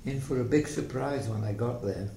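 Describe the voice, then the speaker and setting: Creaky older Welsh man reminiscing, echoey room. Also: mid-range voice, dark tone. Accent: slight Welsh. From South Wales. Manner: halting, reflective storytelling. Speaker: interviewee telling life story. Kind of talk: oral history reminiscence. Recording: echoey room, faint background noise.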